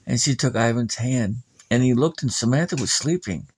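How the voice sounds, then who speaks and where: A man with a husky voice narrates a scary bedtime tale. His telling is improvised, plain-spoken and folksy. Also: mid-range voice, moderate pace, a little mellow. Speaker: storyteller.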